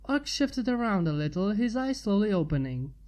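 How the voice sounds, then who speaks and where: A woman narrates a piece of fan fiction from a script. Her voice has a dark, mellow tone and sits at an ordinary pitch for a woman. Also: big pitch swings, moderate pace.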